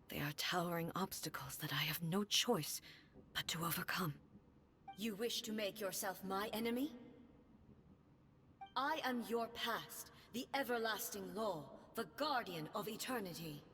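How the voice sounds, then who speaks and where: An imperious goddess ruler. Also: female voice, medium pitch, a little hiss or hum. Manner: solemn, measured, imperious. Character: stern regal goddess ruler, woman, cold and commanding. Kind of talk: video game voice acting. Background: loud game music.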